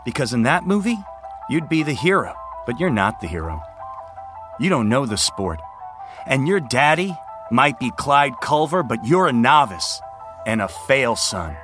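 A man's voice: mid-range voice, sing-song, unhurried, fairly bright tone, soft background music. An actor playing an arrogant, domineering young male college rowing captain in an audio drama, commanding and projecting. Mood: contemptuous, bullying. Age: young adult. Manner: pompous, commanding, projected speech. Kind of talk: scripted audio drama acting.

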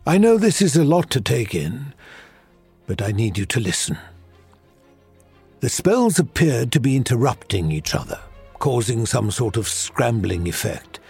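Earnest, expressive fantasy sorcerer mentor. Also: male voice, medium pitch, unhurried, creaky, breathy edge, soft background music, exaggerated voice. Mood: earnest, urgent. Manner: earnest, explanatory. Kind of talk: fantasy audio drama acting.

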